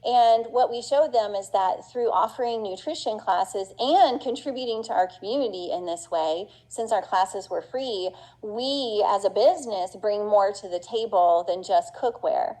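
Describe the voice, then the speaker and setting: A woman's voice: medium pitch, moderate pace, hardly any pauses, warm tone, low-quality recording. A middle-aged woman giving an earnest, chatty conference talk as a business owner, with plenty of fillers and restarts. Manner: earnest and passionate.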